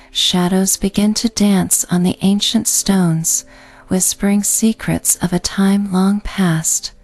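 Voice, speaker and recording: A woman narrating a scripted bedtime sleep story in a gentle, calming, meditative way. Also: mid-range voice. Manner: slow, gentle, calming delivery. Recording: soft music behind the voice. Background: background music.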